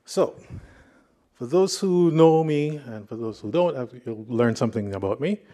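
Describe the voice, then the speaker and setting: A man's voice: medium pitch, expressive, moderate pace, dark tone. A man from Jamaica with a Jamaican accent, preaching a sermon in a conversational, hesitant way, with fillers and restarts. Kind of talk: sermon with personal reflections.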